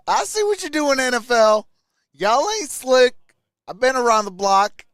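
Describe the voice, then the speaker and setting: A man's voice: extremely high pitch, big pitch swings, takes it slowly, a bit bright, clear, resonant tone, no echo, clean studio sound. A man hosting a sports livestream, giving casual play-by-play and chatting with viewers as he goes. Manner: reads out and answers viewer chat. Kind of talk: casual live play-by-play and chat reactions.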